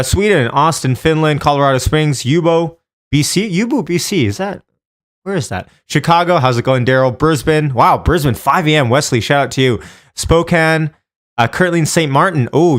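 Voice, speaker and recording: A man's voice: medium pitch, a bit bright. Man hosting a casual live stream. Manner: informal, chatty, addresses viewers by name. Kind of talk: casual live stream chat and stock options commentary.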